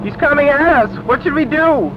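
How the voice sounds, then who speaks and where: A man voicing a frightened, excitable young adventurer with melodramatic exclamations in a horror story. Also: very high-pitched, normal speed, noisy background, pitched above the performer's own voice. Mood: alarmed. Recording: dull lo-fi recording under loud music.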